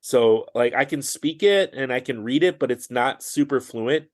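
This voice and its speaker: A man with an ordinary mid-range voice chats as a podcast guest. He talks loosely, restarting sentences and padding them with fillers. He rushes through phrases in quick bursts. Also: medium pitch. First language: English. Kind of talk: casual conversational interview.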